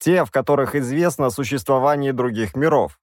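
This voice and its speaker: A man's voice: medium pitch, brisk, dry recording, studio quality, pitched above the performer's own voice, close to an everyday voice. A man voicing a bloodthirsty brain parasite who speaks in a thoughtful, matter-of-fact way. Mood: scheming.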